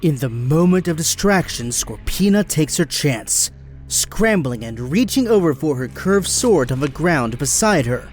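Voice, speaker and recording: A man's voice: fairly high pitch, animated, measured pace, faint music behind. A man giving dramatic scripted narration as the narrator of an action audio drama.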